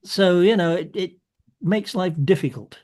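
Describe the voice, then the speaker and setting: A man's voice: sits high, normal speed, darkish tone. A man in a casual group discussion who translates plays and talks in a chatty, rambling way with many restarts. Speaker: play translator and company organizer.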